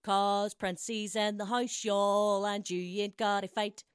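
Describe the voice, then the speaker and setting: A woman's voice: mid-range voice, flat delivery, slow pace, smooth, no room sound, studio quality, natural voice. A woman voicing a sleazy, swaggering young male rapper prince who talks in brash, rhyming street slang. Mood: cocky.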